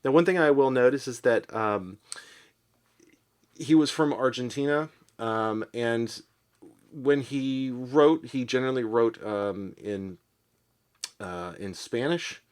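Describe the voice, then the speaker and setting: A man presenting a literary podcast in an unscripted, thoughtful way, halting as he searches for his words. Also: mid-range voice, smooth. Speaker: podcast presenter and poetry reader. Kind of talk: casual literary commentary, unscripted.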